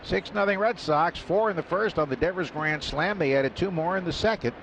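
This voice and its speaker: A man's voice: sits high, fairly quick, creaky, a bit bright, low-quality recording. A man doing radio play-by-play for a baseball game, easygoing and chuckling as he calls the action. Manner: easygoing, chuckles while calling plays. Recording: radio broadcast with crowd noise behind.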